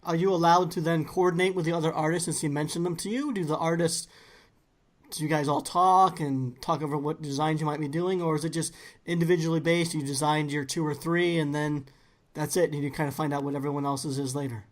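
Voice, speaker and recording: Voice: medium pitch, fairly even intonation, a little fast. A podcast host asking long, easygoing questions, with fillers and restarts. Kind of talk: casual interview chat.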